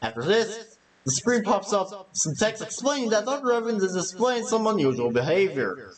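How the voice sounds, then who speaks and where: A man's voice: high voice, big pitch swings, normal speed, recorded from a distance, a crowd in the background, poor audio. A man reading scripted narration for a video essay.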